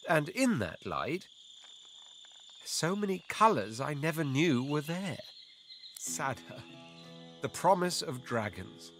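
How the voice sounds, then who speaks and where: A man's voice: on the high side, very animated, a bit bright, faint music behind, full character voice. Theatrical, swooping male imperial adventurer. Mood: reflective, earnest. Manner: theatrical, pausing, ornate measured phrasing. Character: proud, eloquent, theatrical male imperial adventurer craving grandeur. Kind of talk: dramatic video game character acting.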